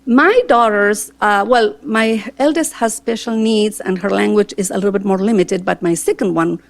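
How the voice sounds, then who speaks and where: A woman's voice: mid-range voice, moderate pace, gravelly. A talkative, earnest woman from Argentina with an Argentine Spanish accent, answering a school board's interview questions. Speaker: board seat candidate.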